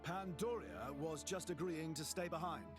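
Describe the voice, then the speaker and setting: A brash young man, played by an actor, swinging widely in pitch over clear music. He is a stubborn, protective fighter in a video game, brusque and sardonic. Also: expressive, moderate pace, lightly acted.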